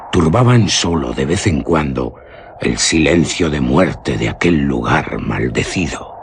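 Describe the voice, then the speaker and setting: A man's voice: deep voice, a little hiss or hum. A man narrating a gothic horror tale for radio drama in a solemn, theatrical, literary manner.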